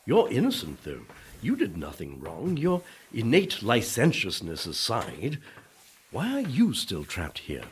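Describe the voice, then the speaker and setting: A man's voice: fairly low pitch, huge pitch swings, unhurried, a little hiss or hum. A man playing the ghost of a dour, guilt-ridden Puritan preacher in a comic radio play, pompous and exasperated in manner. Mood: uneasy, self-justifying. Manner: pompous, exasperated, self-justifying.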